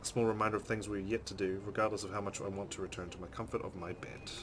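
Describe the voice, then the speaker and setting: A man's voice: on the low side, little pitch movement, quick pace, background noise. A man hosting a let's play, reading story text dramatically and adding casual commentary.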